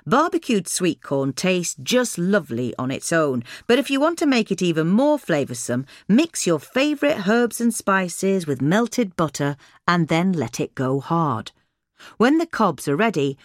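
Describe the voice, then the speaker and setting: A woman's voice: on the low side, no echo, studio quality. A woman reading a scripted, instructional gardening article as a narrator. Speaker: reader of a gardening magazine article.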